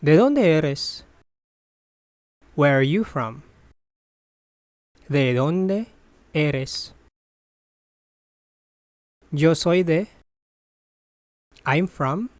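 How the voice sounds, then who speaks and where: A voice reading a phrasebook drill at a very slow, careful pace. It says each phrase, translates it, then repeats it broken into parts. The recording is dry and close, with no room sound. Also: medium pitch, expressive, darkish tone, dry recording. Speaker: language teacher.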